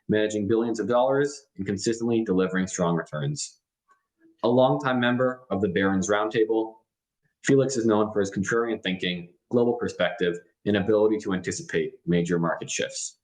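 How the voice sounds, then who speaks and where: A man's voice: lowish voice, a little mellow, rough recording. Young man hosting a podcast. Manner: reads intro evenly, asks long multi-part questions. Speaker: podcast host. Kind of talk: scripted intro, then interview questions.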